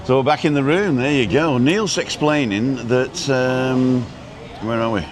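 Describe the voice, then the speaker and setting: A man's voice: medium pitch, a little slow. Older english guy rambling on a live stream, uh's everywhere, crowd noise behind. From England. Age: 60s. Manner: chatty, rambling, with frequent uh fillers. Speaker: host of a live walking stream. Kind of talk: casual live-stream chat. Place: outdoors on a busy street.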